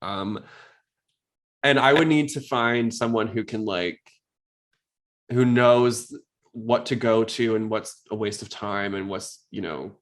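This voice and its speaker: A man's voice: lowish voice, slow, pauses often. A man hosting a podcast in a casual, inquisitive, conversational way. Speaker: podcast host.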